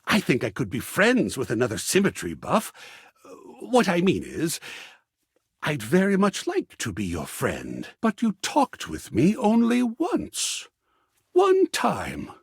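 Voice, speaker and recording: Game role: effusive, art-obsessed man. Husky, florid and dramatic, hugely varied pitch, very dry close recording. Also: wildly swooping pitch, takes it slowly, dry recording, exaggerated voice. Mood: warm, shy, then disappointed. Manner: florid, dramatic, formal phrasing. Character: effusive, sensitive, theatrical male artist, an art-obsessed optimist. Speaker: voice actor playing a video game character. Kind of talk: theatrical video game character dialogue.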